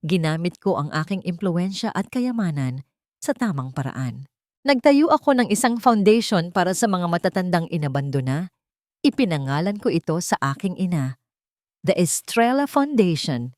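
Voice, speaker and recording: A woman with a slightly low voice narrates a dramatic, sentimental tale. Her delivery is scripted, measured and earnest. The sound is dry, with very little room in it. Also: darkish tone, dry recording. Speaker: audio story narrator.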